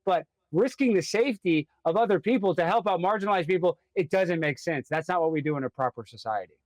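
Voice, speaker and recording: Fast, high-voiced male pundit. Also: lively intonation, no echo. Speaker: panel guest commentator. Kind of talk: opinionated conversational political commentary.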